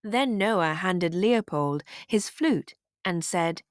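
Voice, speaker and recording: Slow, lively woman storyteller. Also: lowish voice, dry recording, clean studio sound. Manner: expressive, deliberate storytelling. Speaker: storybook narrator. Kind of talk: children's read-aloud narration.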